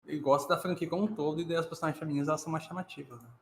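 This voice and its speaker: A man chats casually as a co-host, talking fast in a slightly high register. His voice sounds echoey and roomy. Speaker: co-host reacting to a video. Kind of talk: casual chat. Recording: roomy, echoey pickup with faint noise.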